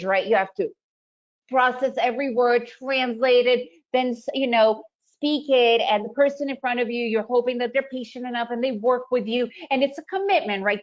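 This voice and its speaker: A fairly high-voiced woman gives an upbeat trading webinar, stressing her points and firing off rhetorical questions. Russian is her first language, though she grew up in the United States. The sound is dull and lo-fi. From former Soviet Union, raised in the United States. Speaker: trading presenter. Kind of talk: webinar presentation.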